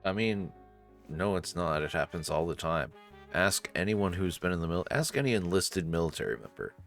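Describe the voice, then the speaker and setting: A man's voice: deep voice, expressive, moderate pace, a bit bright, faint music behind. A man reading game narration aloud as a let's player, adding casual, joking commentary. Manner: joking, conversational.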